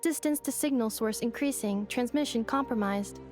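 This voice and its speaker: An actress voicing a calm, analytical female android in a video game, speaking in flat, precise phrases. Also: on the high side, moderate pace, close to an everyday voice. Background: game music.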